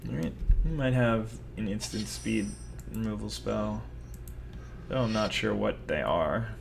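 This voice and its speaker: A man's voice: on the low side, unhurried, vocal fry, a little hiss or hum. A young man giving rambling, self-mocking commentary over his own card game, talking casually to viewers. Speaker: gaming video host.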